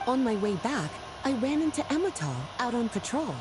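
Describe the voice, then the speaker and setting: Playful, polished woman for game dialogue; coy, flirtatious, very expressive pitch, music underneath. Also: normal speed. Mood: playful, storytelling. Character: playful, teasing, well-read woman, leisurely and flirtatious. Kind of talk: scripted video game character dialogue.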